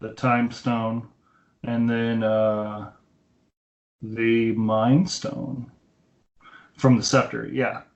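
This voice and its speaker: A man's voice: mid-range voice, flat delivery, extremely slow, pauses often. A man co-hosting a podcast in casual film discussion, explaining things and retelling scenes in detail over an internet call. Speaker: podcast co-host. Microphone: Skype call. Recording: dull, lo-fi internet call audio.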